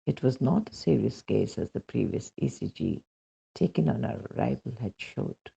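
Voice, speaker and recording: A man with an Indian accent and a husky, gravelly voice reads a first-person devotional account aloud as scripted narration, in a dull lo-fi recording. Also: mid-range voice, lively intonation, moderate pace, mellow. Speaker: reader of a devotional testimonial.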